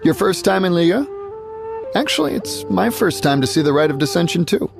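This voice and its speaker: A friendly local townswoman in a video game. She is polite and chatty, with animated intonation and some creak in the voice. Music plays clearly behind. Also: lowish voice, normal speed. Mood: chatty, helpful. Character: friendly, courteous local festival-goer. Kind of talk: video game character acting.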